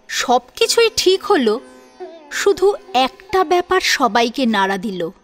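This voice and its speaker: A woman narrates a scripted story. Her voice is high, and faint music plays behind her. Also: fairly bright tone. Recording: music under the voice.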